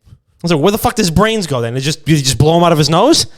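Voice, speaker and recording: A man's voice: fairly high pitch, lively intonation, fast talker. A man co-hosting a podcast, riffing in loose, profane, irreverent comedic banter. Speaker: podcast co-host.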